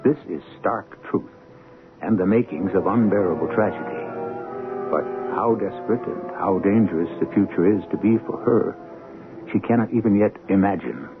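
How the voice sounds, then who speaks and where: Deep, grave male radio narrator over music. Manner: grave, portentous, deliberate phrasing. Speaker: narrator and host of radio drama. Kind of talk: dramatic scripted old-time radio narration. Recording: tinny old radio recording.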